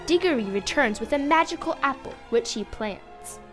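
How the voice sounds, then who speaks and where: A young female narrator reading a scripted fantasy story aloud with expressive storybook delivery. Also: high voice, normal speed, over music.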